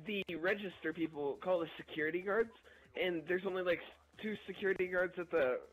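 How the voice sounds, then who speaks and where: A young man with a fairly high, husky voice rambles through a story over gameplay. He is animated and slangy, talking casually as if to friends. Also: a little fast, low-quality recording. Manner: animated, slangy, chuckling delivery. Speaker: gameplay video narrator.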